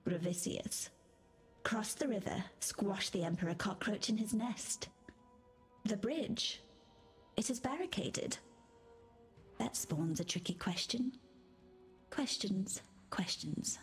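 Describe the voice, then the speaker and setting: A woman's voice: medium pitch, close to an everyday voice. A woman playing a mad, bitter, undying queen in a video game, muttering and repeating herself theatrically. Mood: unhinged, bitter. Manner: unhinged, repetitive, theatrical, muttering.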